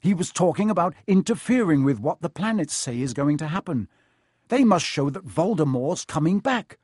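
A man's voice: fairly high pitch, lively intonation, moderate pace, breathy edge, dry recording, studio quality, pitched above the performer's own voice. A man voicing an anxious young schoolboy wizard with an urgent, feverish manner in an audiobook. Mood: feverish, agitated. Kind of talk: audiobook character voice.

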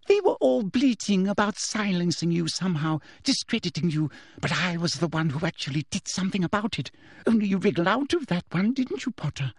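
Man voicing a breathy, high-pitched sinister woman official. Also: very animated, brisk, no echo, studio quality, exaggerated voice. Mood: breathy menacing. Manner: smug, simpering, menacing. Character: sinister middle-aged woman official, gleeful, breathy, menacing.

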